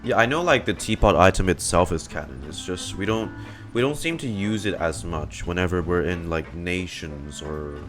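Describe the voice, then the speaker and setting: A man's voice: low voice, animated, normal speed, hardly any pauses. A man giving casual reaction commentary while he plays a game. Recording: game music playing behind the voice.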